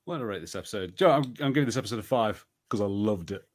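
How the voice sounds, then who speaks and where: A male podcast co-host in a casual review chat. He works out his opinions as he speaks, hedging and circling back. The phrasing is halting and conversational. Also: on the low side, animated. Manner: halting, hedging, thinking aloud.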